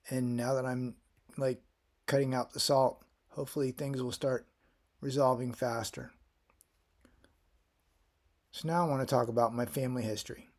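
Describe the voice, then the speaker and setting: A man's voice: mid-range voice, a little mellow. Rambling middle-aged man from Florida. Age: 50s. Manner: rambling, with frequent restarts and fillers. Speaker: vlogger. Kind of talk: casual personal vlog.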